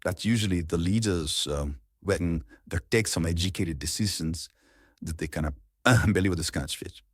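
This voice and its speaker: A man's voice: low-pitched, normal speed, husky, no echo, clean studio sound. A man speaking as a coach, giving an informal, explanatory lesson on public speaking.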